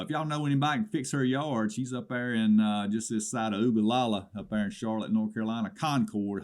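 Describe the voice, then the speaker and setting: A man's voice: mid-range voice, natural voice. A man playing an indignant small-town caller with a Southern American accent, rambling through a comedy swap shop report with exasperated asides. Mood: folksy, exasperated.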